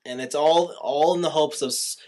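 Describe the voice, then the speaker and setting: A man's voice: a little high, normal speed, darkish tone, poor audio. A man hosting a podcast, chatting casually and explaining things in an informal way about a movie. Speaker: podcast host. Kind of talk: casual movie review chat.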